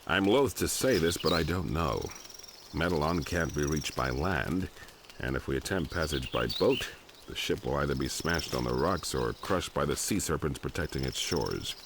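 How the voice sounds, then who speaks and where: An actor playing a grave, commanding rebel leader in a video game, with measured, authoritative delivery and a gravelly voice. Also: male voice, a bass voice, expressive, heavy background noise. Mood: grave, urgent. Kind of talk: video game cutscene acting.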